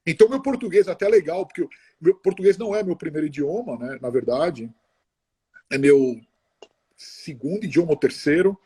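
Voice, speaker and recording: Middle-aged guy, slightly high warm voice, rambles and restarts a lot, video call. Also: lively intonation, husky. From Brazil. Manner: chatty, halting, many restarts and tag questions. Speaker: interview guest. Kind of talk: casual conversational interview.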